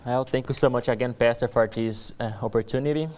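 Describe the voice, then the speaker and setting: A young man from Brazil speaks English with a thick Brazilian Portuguese accent, his first language being Portuguese. He presents his missions work to a church congregation in an earnest, friendly way, choosing his words with care. His voice comes through smooth and clean, at a middling pitch. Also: fairly even intonation, fairly quick, darkish tone. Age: young adult. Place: church service.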